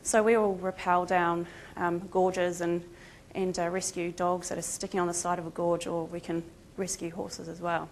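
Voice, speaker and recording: A woman's voice: on the low side, little pitch movement, moderate pace, few pauses, slight background noise. An Australian woman with a New Zealand-tinged accent giving a chatty, self-deprecating conference talk with frequent ums. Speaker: conference speaker, veterinary emergency team member. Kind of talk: conference presentation.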